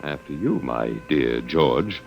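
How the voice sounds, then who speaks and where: An actor playing a wry, detached academic held captive, musing sardonically in a 1950s radio drama. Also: male voice, rumbling low, expressive, slow, vocal fry, loud music behind the voice, heavily acted. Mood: dryly joking. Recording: noisy vintage 1950 radio recording.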